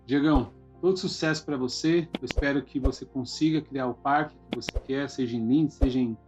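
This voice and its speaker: A man with a husky voice and a Brazilian Portuguese accent, hosting a podcast in a casual, encouraging way. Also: medium pitch, brisk. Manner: encouraging, informal. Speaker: podcast host. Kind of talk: casual conversational interview. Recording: dull lo-fi sound, faint music.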